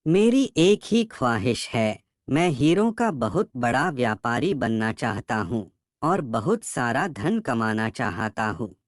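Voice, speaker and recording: A man playing an earnest, ambitious and respectful young man in an animated moral story. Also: medium pitch, slow pace, no echo, studio quality. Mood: wishful.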